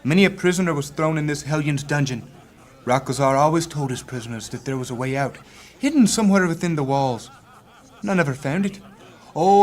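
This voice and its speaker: Male innkeeper storyteller for fantasy audio drama, acted by a man: folksy, theatrical, somewhat high voice, building dark suspense. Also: close to an everyday voice. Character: tavern storyteller innkeeper spinning a dark legend.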